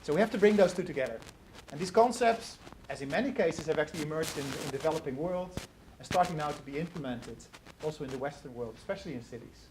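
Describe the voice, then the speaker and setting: A man's voice: on the high side, lively intonation, quick pace. A middle-aged man giving an academic lecture and answering audience questions, earnest and often restarting words as he searches for the phrase. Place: lecture hall. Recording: noisy lecture recording.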